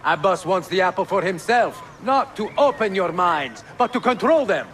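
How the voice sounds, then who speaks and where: Grieving master assassin, highish voice, forceful, swings around a lot, bit of noise behind. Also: male voice, animated, normal speed. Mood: bitter, resigned. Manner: grave, forceful. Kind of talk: dramatic video game cutscene acting.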